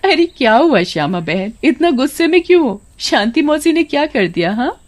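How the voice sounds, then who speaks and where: A woman's voice: sits high, very animated, normal speed, voice pushed up. A woman voicing a sensible, outspoken young village woman in a cartoon story, speaking earnestly and directly. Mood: curious.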